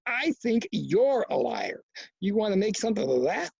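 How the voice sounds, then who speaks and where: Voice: medium pitch, sing-song, moderate pace, vocal fry, darkish tone, slightly rough, no room sound, lo-fi sound, full character voice. A reader voicing a cocky, combative young boy from a novel, with brash, slangy, goading talk.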